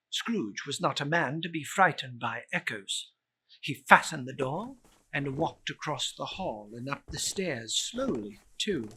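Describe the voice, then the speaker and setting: A man's voice: a little high, sharp tone, a little hiss or hum. A man giving a theatrical, suspenseful reading of a Victorian ghost story, drawing out words and swelling with emphasis. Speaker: storyteller reciting a classic novel.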